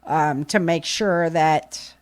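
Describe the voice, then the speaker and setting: A woman's voice: low voice, slow, no room sound. Woman podcast host, close dry sound. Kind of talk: casual podcast talk.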